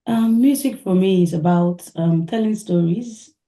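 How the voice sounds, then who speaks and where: A woman musician from Nigeria answering interview questions, soft-spoken and thoughtful, laughing often. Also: medium pitch, measured pace. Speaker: musician guest. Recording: echoey room.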